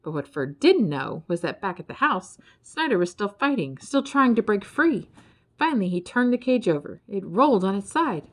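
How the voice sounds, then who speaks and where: A woman reading a children's story aloud as an expressive narrator. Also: medium pitch, moderate pace. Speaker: audiobook reader.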